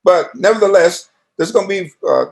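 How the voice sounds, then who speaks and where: An older man in a casual interview conversation, talking in a halting, rambling, folksy way. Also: sits high, animated.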